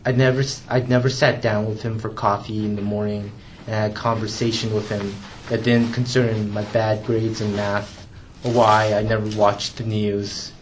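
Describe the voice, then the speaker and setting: A young man reads from his memoir in a lowish, steady voice, measured and restrained, catching on a word now and then. A faint room hum sits under the reading. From Canada. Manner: measured, restrained reading with occasional small stumbles and repeats. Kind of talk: literary reading aloud.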